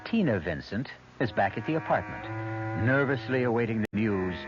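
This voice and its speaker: A man's voice: mid-range voice, expressive, moderate pace, background noise. A man narrating a scripted radio mystery as its host, in a dramatic, wry manner. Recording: muffled old radio broadcast. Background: music.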